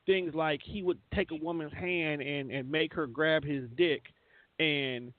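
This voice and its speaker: A man's voice: a little high, steady tone, measured pace. A man hosting a radio show with casual, rambling, colloquial celebrity-news banter and some swearing. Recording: thin phone-like sound, roomy echo.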